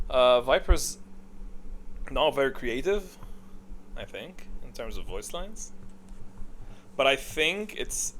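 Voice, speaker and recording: A man's voice: mid-range voice, fairly bright tone, a little hiss or hum. A man giving casual gaming tier-list commentary, thinking aloud in an offhand, hesitant way and second-guessing himself. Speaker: gaming video host.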